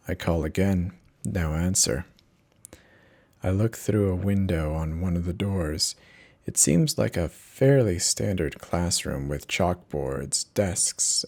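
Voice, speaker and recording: Low-pitched man narrating horror, slow and dramatic. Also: darkish tone. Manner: theatrical, measured, intense delivery. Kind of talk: dramatic first-person horror reading.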